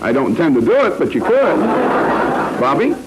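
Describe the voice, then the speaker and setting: A male American quiz host from old-time radio, with lively, swooping intonation. He is wry and teasing as he talks to the contestants. The recording is vintage and clearly noisy. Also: fairly high pitch, brisk.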